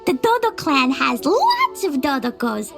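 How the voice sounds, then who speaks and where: A woman voicing an excitable, eager little girl adventurer in a video game, with music behind her. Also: high voice, a little slow, gravelly, heavily acted. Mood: cheerful, explaining. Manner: eager, childlike.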